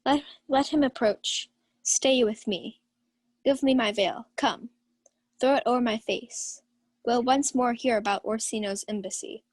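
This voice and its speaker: A woman's voice: fairly high pitch, a little slow, no echo. A young woman playing a proud, commanding countess in a theatrical radio Shakespeare play. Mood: imperious. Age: young adult. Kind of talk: 1940s radio Shakespeare acting.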